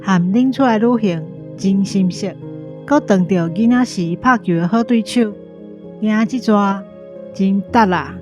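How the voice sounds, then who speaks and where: A woman's voice: medium pitch, unhurried, over music, lightly acted. A woman playing a cheerful, consoling young travel companion in a radio drama.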